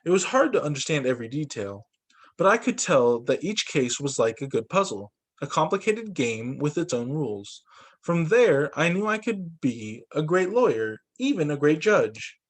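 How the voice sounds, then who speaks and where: A man reading a children's storybook aloud as a teacher, with expressive narration and acted-out dialogue. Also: a little high, normal speed. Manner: animated, acts out dialogue for children.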